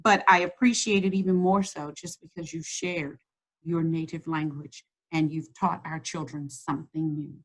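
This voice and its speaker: A woman hosting a children's read-aloud, encouraging and slow, stretching words as she reads. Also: low voice, fairly even intonation, poor audio. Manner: warm, encouraging, slows and stretches words when reading. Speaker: school district host reading to children. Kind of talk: read-aloud lesson and chat.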